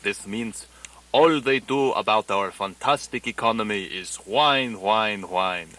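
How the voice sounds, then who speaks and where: A man playing an earnest language teacher in a radio parody, enunciating deliberately in mock foreign phrases. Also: fairly low pitch, bright, forceful tone. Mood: instructive. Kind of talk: parody of a broadcast language lesson.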